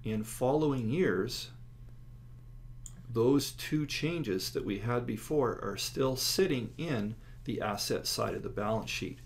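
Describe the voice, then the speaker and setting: A man's voice: medium pitch, slow pace. A man giving an instructional lecture, explaining slides step by step and stressing key words. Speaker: accounting instructor. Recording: clear steady background noise.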